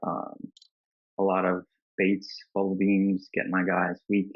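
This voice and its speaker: Deep-voiced man on dull, dry audio. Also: monotone, a little slow, no echo. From New York State, United States. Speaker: tournament player, panel guest. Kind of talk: casual explanatory chat. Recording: dull, lo-fi voice call.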